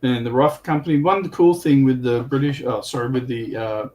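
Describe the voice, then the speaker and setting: A man chatting casually as he explains tabletop game rules, with halting, hesitant delivery. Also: medium pitch, darkish tone. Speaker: guest on a tabletop wargaming livestream. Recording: clearly noisy background.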